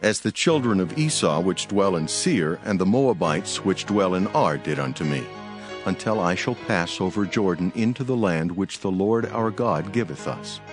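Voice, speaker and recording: Calm, restrained envoy of peace, scripture drama. Very low register, formal delivery, clear music bed. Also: male voice, moderate pace. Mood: calm, petitioning.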